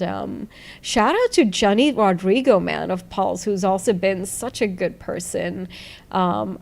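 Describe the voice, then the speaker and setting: A woman from Sri Lanka speaks in a low, slightly gravelly voice. She chats loosely and rambles, pausing on fillers as she gives shout-outs to the people she thanks. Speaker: founder of a music site, interviewed. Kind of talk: casual interview chat.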